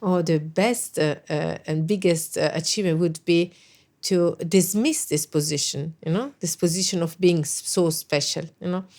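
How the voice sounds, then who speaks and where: A woman's voice: lowish voice, normal speed, a little mellow. A middle-aged woman, an English speaker, answering interview questions thoughtfully with frequent hesitations and restarts. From Italy. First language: Italian. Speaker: guest, NATO special representative.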